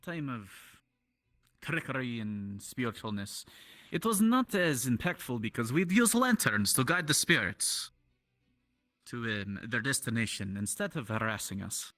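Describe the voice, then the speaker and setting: A man acting as a courteous, studious townsman in a fantasy roleplay. His voice is bright and swings widely in pitch, with halting, quaintly formal phrasing. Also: very animated, faint music behind. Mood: reflective. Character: polite, scholarly townsman in a fantasy town. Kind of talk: improvised virtual-reality roleplay.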